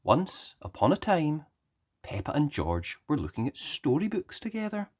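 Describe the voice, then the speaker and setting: Female children's story narrator: very low register, unhurried, richly animated storytelling. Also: breathy edge.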